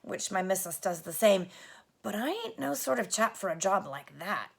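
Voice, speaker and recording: A woman's voice: mid-range voice, very animated, normal speed, vocal fry. A woman voicing a humble middle-aged male London cabbie with a Cockney accent, speaking in a homely, earnest, hesitant way. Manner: homely working-class speech, earnest and hesitant.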